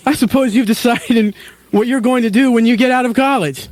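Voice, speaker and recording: A man acts an ageing small-town father. His voice sits high for a man. He speaks gently and earnestly, as in a parody radio drama. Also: fairly quick. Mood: gentle, earnest. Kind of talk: parody radio play of an old film.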